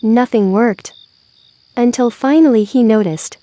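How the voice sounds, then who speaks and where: A woman narrating a children's story aloud, with expressive, deliberate phrasing. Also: fairly high pitch, no echo.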